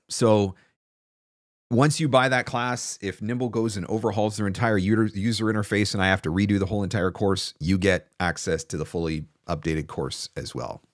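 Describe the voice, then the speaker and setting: A man's voice: on the low side, a little fast, no echo. A man in his forties giving casual, conversational advice as a livestream host, explaining things with emphatic repetition into a close studio microphone. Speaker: livestream host, voice-over coach. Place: small home studio room, indoors. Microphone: close desktop broadcast microphone.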